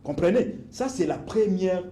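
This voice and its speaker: A man presses his demands one by one, slow and deliberate. His voice sits fairly high yet sounds dark and mellow. The room around him is echoey. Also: expressive, slight background noise. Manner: insistent, lists demands, repeats phrases. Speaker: activist guest. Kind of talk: political interview.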